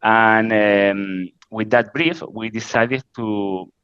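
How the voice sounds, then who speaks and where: Spanish-accented man, halting and low. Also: steady tone, fairly bright tone, clean tone, no echo, muffled recording. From Spain. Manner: halting, frequent "uh" fillers, non-native grammar. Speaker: interview guest, creative director. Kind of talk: casual interview answers.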